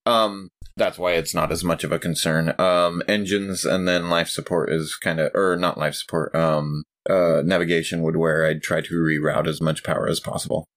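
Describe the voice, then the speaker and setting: A man speaks casually as a player in a tabletop game. His voice sits quite low. He talks in short bits, pausing to work out his move. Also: level tone, fairly bright tone.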